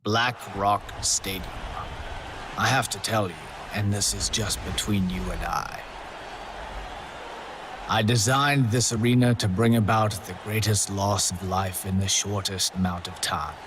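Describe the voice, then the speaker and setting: An actor playing a suave, gleefully morbid contest master, briefing players in a video game with deliberate, silky menace. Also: male voice, lowish voice, steady tone, noisy background, natural voice. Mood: morbid pride. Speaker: contest host. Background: music.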